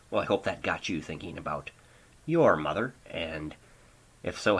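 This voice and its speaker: A man with a very low, bright and forceful voice hosts a radio program. He speaks in a casual but prepared presenter's style, introducing segments from a home studio. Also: breathy edge. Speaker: radio show host. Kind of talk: casual scripted radio hosting.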